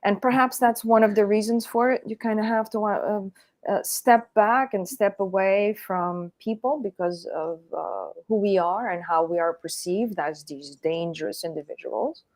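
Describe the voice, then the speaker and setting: A woman speaking as an interview guest in a measured, deliberate way, with frequent pauses. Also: medium pitch, a little mellow, dry recording. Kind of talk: reflective interview talk.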